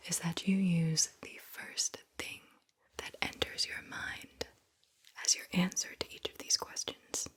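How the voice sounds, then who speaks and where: A woman whispering slowly and soothingly as an ASMR host, guiding the listener deliberately and repeating words. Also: fairly low pitch, a bit bright, breathy edge. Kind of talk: whispered ASMR guided visualization.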